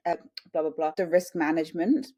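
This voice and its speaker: A woman's voice: low voice, fairly even intonation, fairly quick, warm tone. An English woman in her late twenties, chatting and telling anecdotes as an interview guest. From UK, small town in Suffolk. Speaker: podcast guest. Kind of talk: conversational interview.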